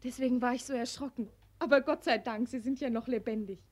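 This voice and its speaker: A woman's voice: on the high side, steady tone, fast talker, natural voice. A woman acting a caring, playful young passer-by, tender and teasing, in a 1940s German radio play. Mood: anxious, concerned. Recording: 1940s radio studio recording.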